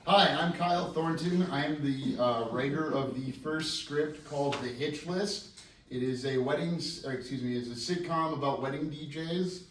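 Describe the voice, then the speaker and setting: A man's voice: on the high side, normal speed, a bit bright. A man giving a casual, rambling introduction as a scriptwriter, with a self-deprecating, joking manner. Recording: echoey room, distant mic, faint noise.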